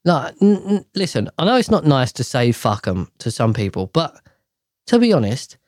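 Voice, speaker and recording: A man's voice: a little high, big pitch swings, moderate pace, a little mellow, no echo, studio quality. A man ranting casually to camera, profane and exasperated, rambling with sighs and restarts.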